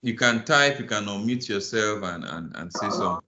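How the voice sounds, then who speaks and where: A low-pitched man leads an online finance lesson, patient and encouraging as he walks through definitions. His voice comes through a roomy, slightly muffled call line. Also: lively intonation, moderate pace, bright, forceful tone. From Nigeria. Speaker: financial modeling instructor. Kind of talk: live online class, explaining and inviting answers. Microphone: video call.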